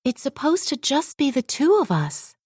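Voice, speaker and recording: Storybook girl princess, played by a woman: high, earnest, pleading, swooping intonation. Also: very animated, normal speed, a little mellow, no echo, clean studio sound. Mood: let down.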